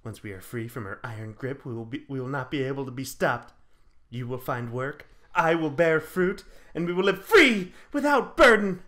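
A man's voice: medium pitch, animated, moderate pace, a bit bright, natural voice. A man playing a seductive, scheming houseplant with a florid, melodramatic delivery in a comedy sketch. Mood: dreamy, grandiose. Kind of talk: comedic audio sketch acting.

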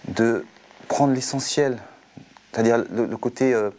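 A young man, a singer, giving measured explanations in an interview in a music studio. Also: medium pitch, steady tone, a little mellow, slight background noise. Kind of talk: interview talk.

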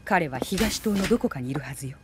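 An actress playing a poised young female spy in a video game cutscene, speaking in a cool, intimate, deliberate way. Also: sits low, lively intonation, background noise. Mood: cool briefing. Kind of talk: dramatic game cutscene acting. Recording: music behind the voice.

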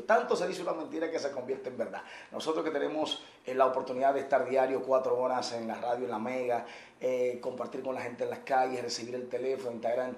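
A man hosting an interview, effusive and teasing, seated in a bright living room. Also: mid-range voice. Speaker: radio and TV host interviewer. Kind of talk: lively interview. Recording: echoey room with faint noise.

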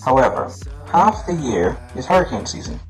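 A man giving a clear-worded explanatory narration about his work. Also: mid-range voice, big pitch swings, normal speed, faint music behind, low-quality recording. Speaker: air traffic explainer.

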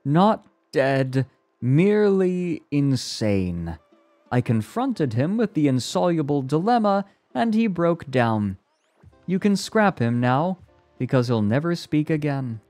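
A man's voice: fairly high pitch, sing-song, measured pace, a little mellow, no echo, faint music behind, pitched above the performer's own voice. A man voicing a cold, caustic woman psychologist in an audiobook story, commanding and insistent.

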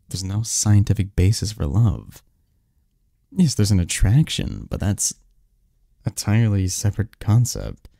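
A man acting a very mellow, cynical research assistant. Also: low-pitched, gravelly, dry recording, studio quality, exaggerated voice. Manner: scoffing, chuckling, pedantic, hesitant stumbles. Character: snarky, cynical young male research assistant, bookish and dismissive. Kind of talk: roleplay audio drama, conversational monologue.

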